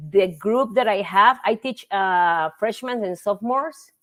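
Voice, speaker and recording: A middle-aged woman from Costa Rica with a Costa Rican Spanish accent, a native Spanish speaker talking about her teaching in a casual interview, often pausing to search for words. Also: mid-range voice. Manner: warm, searches for words, frequent fillers.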